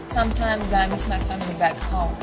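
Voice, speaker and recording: A woman's voice: mid-range voice, fairly even intonation, a little fast, music in the background, lightly acted. A young woman playing a friendly, reserved club performer in a game's date scene, answering briefly and agreeably. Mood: confiding. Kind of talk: scripted game dialogue.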